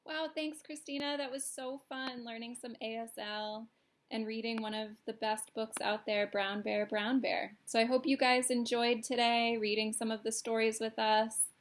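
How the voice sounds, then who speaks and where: A woman's voice: a little high, fairly even intonation, normal speed, slight background noise. A woman hosting a children's storytime, reading aloud expressively in simple phrases for young listeners.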